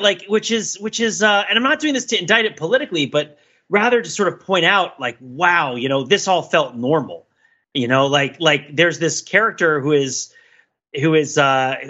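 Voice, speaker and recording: A man co-hosting a podcast, giving casual, discursive pop-culture commentary in an animated, digressive and emphatic way. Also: on the high side, lo-fi sound. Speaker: podcast co-host.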